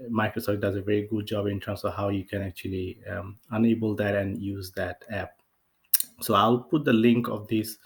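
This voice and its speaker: A man presenting a software tutorial as a casual, unscripted screen-share walkthrough, explaining in a hesitant, rambling way. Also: on the low side, vocal fry. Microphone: off-camera voice-over for screen recording.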